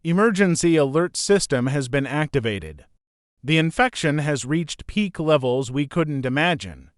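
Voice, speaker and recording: A man's voice: fairly high pitch, expressive, measured pace, no room sound, clean studio sound. A man reading a scripted emergency broadcast as the announcer.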